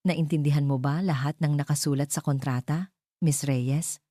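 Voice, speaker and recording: A woman's voice: low-pitched, fairly even intonation, moderate pace, dark tone, dry recording, clean studio sound. A woman voicing a formal, polite, businesslike lawyer in a dramatic story.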